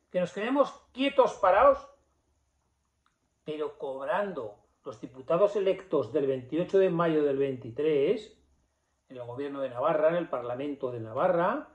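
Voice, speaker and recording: A man giving an indignant, emphatic opinion monologue to camera in a small office, with rhetorical questions and repetition. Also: high voice. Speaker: political commentator. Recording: echoey room, slightly dull sound.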